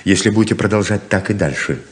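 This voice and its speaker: An actor playing a smooth-talking, self-assured rainmaker con man in a theatrical 1970s Soviet radio play. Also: lowish voice, fast talker, lightly acted. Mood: grand, persuasive. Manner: theatrical, grandly persuasive.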